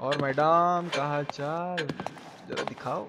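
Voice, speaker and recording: Male live-stream gamer, Bihari-style Hindi accent, high pitch, colloquial and full of exclamations, noisy recording. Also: fairly even intonation, slow pace, vocal fry. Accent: eastern Hindi belt, Bihari-style. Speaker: game streamer. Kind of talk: live gameplay commentary, casual chat.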